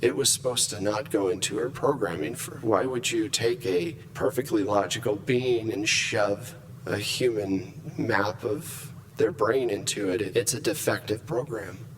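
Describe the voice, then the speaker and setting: A man voicing a logical, disapproving shipboard AI in a measured, formal, clinical manner at a game table. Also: fairly low pitch, a crowd in the background, natural voice, poor audio. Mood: critical, logical.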